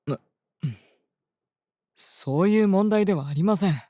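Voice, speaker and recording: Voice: medium pitch, a little slow, dark tone, no room sound, studio quality, natural voice. An actor playing a strict, composed and dutiful master star-reader in a Japanese fantasy voice drama, speaking politely and firmly. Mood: dry reproof. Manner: polite, formal, firm.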